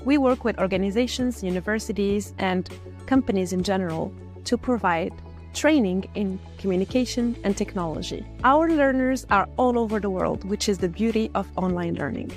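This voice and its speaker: A woman's voice: mid-range voice, moderate pace. Algerian woman, scripted promo over music. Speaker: founder presenting an e-learning platform. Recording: music behind the voice.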